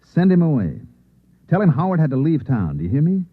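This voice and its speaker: Fast-talking, husky radio-drama newspaperman. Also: a little high, huge pitch swings. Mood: urgent. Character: insistent, earnest newspaperman narrating his strange night. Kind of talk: old-time radio drama acting. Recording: muffled, narrow-band old radio recording.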